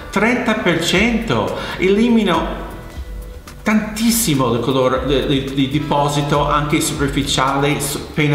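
A middle-aged man, explaining informally to camera as a hairdressing educator, halting and emphatic, often repeating words. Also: a little high, a bit bright. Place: indoors, hair salon with wash basin. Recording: very echoey, reverberant room.

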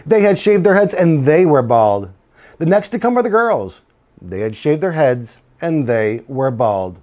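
A man's voice: fairly high pitch, sing-song, unhurried, darkish tone. Middle-aged man reading a children's story. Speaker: school administrator reading aloud. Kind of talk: children's storybook read-aloud. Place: small indoor office. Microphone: lapel mic.

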